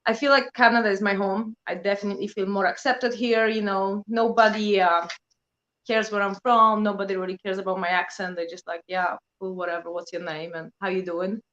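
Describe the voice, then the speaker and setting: A woman's voice: medium pitch, a little fast, lo-fi sound. A woman in her thirties from Poland, with a Polish accent, chatting casually as a guest and telling stories with lots of fillers. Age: 30s. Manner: animated storytelling, many fillers like "you know". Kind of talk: casual chat.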